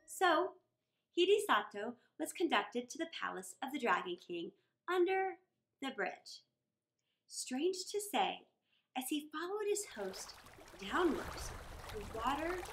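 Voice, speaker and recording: A middle-aged woman reading a children's story aloud with deliberate, dramatic phrasing. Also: high-pitched, fairly bright tone, a little hiss or hum. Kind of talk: expressive read-aloud storytelling. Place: small indoor room against a wall.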